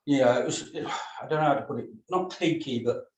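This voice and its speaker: A man's voice: mid-range voice, normal speed. A middle-aged or older man giving casual feedback as a reader in a group discussion, heard with room echo and some distance from the mic.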